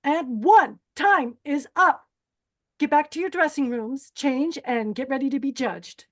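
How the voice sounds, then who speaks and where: A high, commanding voice for a cold older pageant host acted by a woman. She is a former beauty queen, callous and clipped, giving orders in a horror screenplay read. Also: unhurried. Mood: brisk, commanding. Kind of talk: screenplay table read acting.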